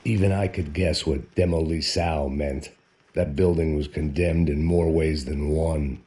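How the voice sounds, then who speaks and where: A hard-bitten ex-cop narrating his own story in a video game. His voice-over is extremely deep, weary and dryly sardonic, in classic noir style. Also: fairly even intonation, a little slow, dark tone, a little hiss or hum. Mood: grim.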